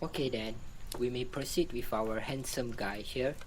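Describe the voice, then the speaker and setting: A composed psychiatrist played by a man in an amateur radio drama, with a medium-pitched voice. He puts polite, probing questions to his group. The recording carries faint noise. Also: moderate pace, natural voice, low-quality recording. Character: composed male psychiatrist leading group therapy, secretly fake.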